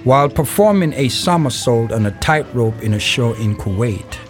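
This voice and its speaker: A man reading scripted narration for an audio play in a measured storytelling way. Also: mid-range voice, expressive. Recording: music behind the voice. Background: music.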